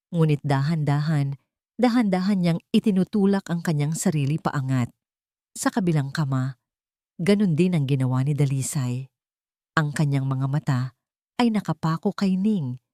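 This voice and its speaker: Woman reading a dramatic audiobook, deep-ish voice, super dry close sound, suspenseful pauses. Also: mellow, no echo. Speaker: audiobook narrator. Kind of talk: dramatic scripted story narration.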